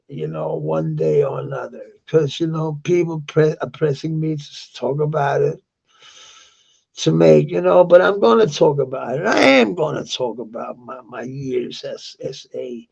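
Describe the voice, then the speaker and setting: A man from Denmark with a Danish accent and a sore, gravelly voice, talking at length in a halting, rambling monologue. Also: fairly high pitch, a little mellow, dull audio. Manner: halting, with many restarts and fillers. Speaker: vlogger.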